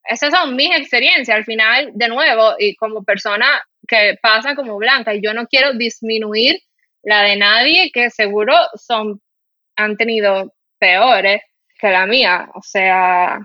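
A woman's voice: fairly high pitch, a little slow, dry recording, muffled recording. A woman from the Dominican Republic with a mild Dominican Spanish accent, hosting a casual podcast and telling indignant stories full of fillers. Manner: animated, indignant, storytelling with many fillers. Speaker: podcast host.

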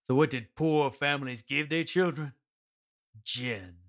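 A woman playing a poor, humble parent in a radio drama, explaining wryly and deliberately. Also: rumbling low.

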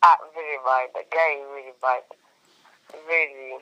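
A man's voice: medium pitch, fairly even intonation, normal speed, bright, forceful tone, dry recording, lo-fi sound. A man, co-hosting a casual chat in a playful, joking way and laughing often. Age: 30s. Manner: playful, laughs often, mixes Swahili and English. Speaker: podcast co-host.

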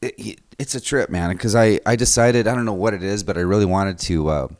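A man's voice: lowish voice, fairly quick, no echo. A man hosting a podcast, talking in a rambling, casual way, often saying "man" and "dude" and swearing, recorded in a photo studio. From Washington State. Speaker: podcast host. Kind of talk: casual interview chat.

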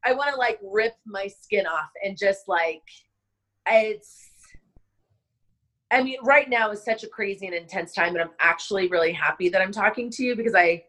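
A woman's voice: mid-range voice, moderate pace, a bit bright, rough recording. A woman guest in an interview, a wedding planner, talking in an impassioned, rambling way with lots of fillers, sounding stressed and overwhelmed. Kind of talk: emotional conversational interview.

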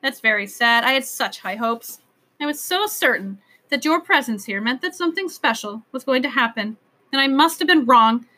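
A woman voicing a lonely, excitable, imperious male magical creature king from a storybook, grand and insistent in manner. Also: sits high, normal speed, exaggerated voice. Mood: dejected. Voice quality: lisping.

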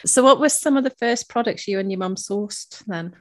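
A woman's voice: mid-range voice, normal speed, dry recording. Encouraging woman podcast host, dry sound. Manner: warmly encouraging, short affirmations. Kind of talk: casual interview chat.

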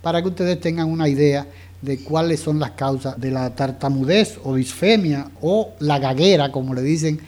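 Formal radio host, slightly high. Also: male voice, a little mellow. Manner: formal, courteous and measured. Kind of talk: radio interview introduction.

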